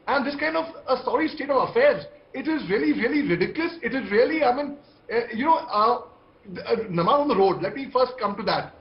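A man with an Indian accent giving emphatic, impassioned, repetitive commentary as a panelist on a TV debate over a video call. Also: very high-pitched. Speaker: TV debate panelist, army veteran. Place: indoor room on video call. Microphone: video call microphone. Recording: muffled, echoey video-call audio.

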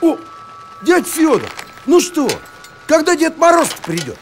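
Eager, touchy cartoon dog: very high, swooping delivery, loud music underneath. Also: male voice, big pitch swings, unhurried, exaggerated voice. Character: excitable, boastful talking dog, male, eager and touchy. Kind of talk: animated cartoon voice acting.

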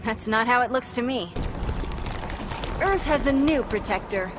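A woman's voice: on the high side, expressive, unhurried, noisy background. A young woman playing an earnest, defiant, sassy superheroine in a video game, confident and quippy. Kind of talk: video game character acting. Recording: music under the voice.

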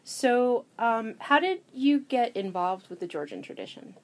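A woman's voice: on the high side, big pitch swings, a little slow, a little hiss or hum. A woman interviewing a guest, friendly and curious, often laughing along. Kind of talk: casual interview.

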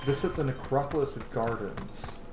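A man's voice: mid-range voice, normal speed. A man in his thirties giving casual, enthusiastic, swear-heavy commentary while playing a game. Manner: enthusiastic, profane. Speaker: gaming streamer. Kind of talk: casual let's play commentary. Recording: roomy echo, background game ambience noise.

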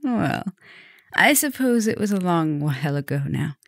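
A woman playing a flirtatious, softly dominant vampire professor in an ASMR roleplay, intimate and teasing, sometimes dropping to a whisper. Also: lowish voice, sing-song, measured pace, vocal fry, dry recording, studio quality, full character voice. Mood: teasing, flirty. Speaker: roleplay audio performer.

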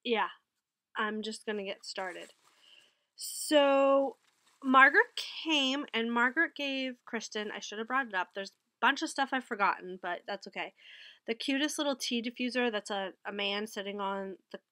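A woman's voice: a little high, moderate pace, pushed and bright, no echo. Chatty woman with a stuffy head cold. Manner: chatty, rambling, self-correcting. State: head cold, a bit nasal. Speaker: knitting vlogger. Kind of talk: casual haul and recap vlog. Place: small wood-paneled room with bookshelves. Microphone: webcam at desk.

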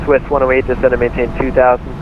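A man's voice: medium pitch, monotone, fast talker. A man working as an air traffic controller, giving clipped procedural instructions over the radio. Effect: radio transmission. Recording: muffled narrowband radio, cockpit drone noise.

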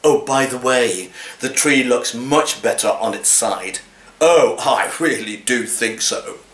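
A man in his sixties reads comic poetry like an actor, with a deliberate, dramatic and expressive delivery, recorded in a living room. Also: mid-range voice. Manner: deliberate, theatrical, expressive delivery in a cat's persona. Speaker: actor reading poems. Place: small living room, indoors. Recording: roomy, echoey home recording.

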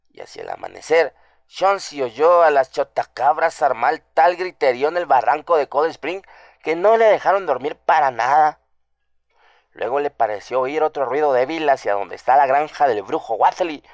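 A man acts out a scared farm housekeeper woman in a radio drama. The voice is high and trembling, in a close, dry recording. She rambles on in superstitious alarm, gossiping and repeating herself. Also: no echo, lo-fi sound.

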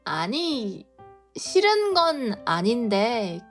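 A woman's voice: a little high, sing-song, extremely slow, faint music behind, heavily acted. A woman playing a shy, easily flustered young daughter in an audio drama, hesitant and bashful. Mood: bashful, unsure. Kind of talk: Korean audio drama acting.

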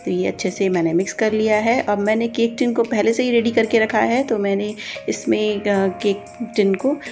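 Woman, medium pitch, informal instructional voice-over, cooking host, faint background music. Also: fairly even intonation, normal speed, darkish tone. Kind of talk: recipe voice-over, step-by-step instruction.

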